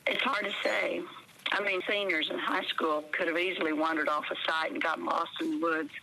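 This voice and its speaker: A woman's voice: lowish voice, fairly even intonation, fairly quick, creaky, pushed and bright, a little hiss or hum, rough recording. A woman playing a guarded, blunt park ranger investigator, answering interview questions matter-of-factly with an American accent. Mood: guarded, wry.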